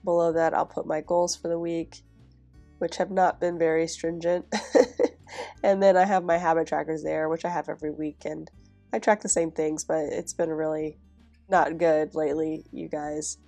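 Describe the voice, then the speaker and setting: A woman's voice: low voice, moderate pace, vocal fry, faint music behind. A young woman with a stuffy, nasal voice from a cold, chatting casually in a voice-over as she decorates a planner. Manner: chatty, relaxed, with soft laughs. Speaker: planner vlogger.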